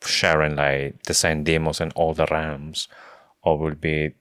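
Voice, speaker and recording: A man with an extremely deep voice speaks as a podcast co-host, in a dry recording with no room sound. His English phrasing is non-native, and he talks casually as in a book discussion. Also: level tone, unhurried, fairly bright tone, smooth, no echo.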